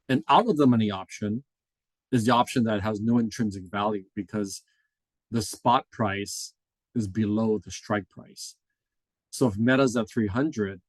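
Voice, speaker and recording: Low-voiced American man teaching, dryly recorded. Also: dry recording. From Hawaii, United States. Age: middle-aged. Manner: explanatory, checks in with tag questions like "right?". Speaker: instructor, experienced options trader. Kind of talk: informal classroom-style lecture with slides.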